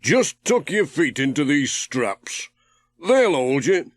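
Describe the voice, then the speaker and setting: A hearty, confident sailor played by a male reader in a children's audiobook, bossing and calling out instructions. His voice is raised in pitch and very lively, with a close, dry sound. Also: slow, no echo. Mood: instructing. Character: Ted, brisk, hearty man, bossy amateur sailor.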